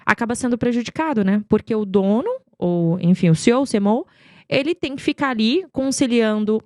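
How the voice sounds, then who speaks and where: Woman w chatting on a podcast, highish voice, dry close sound, talky and emphatic. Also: fairly quick, no room sound. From Goiânia, Brazil. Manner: talkative, expressive, emphatic with rhetorical questions. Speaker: podcast guest, marketing executive. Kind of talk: conversational interview storytelling.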